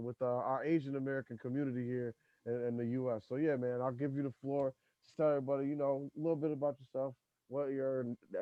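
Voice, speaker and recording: A man's voice: mid-range voice, no echo, narrow, tinny audio. A man hosting a podcast in loose, profane casual chat, talking in restarts. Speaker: podcast host.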